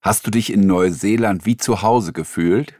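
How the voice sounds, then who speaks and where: A man in a studio, reading interview questions in a scripted exam dialogue in a clear, announcing manner. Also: on the low side, moderate pace, a little mellow, no echo.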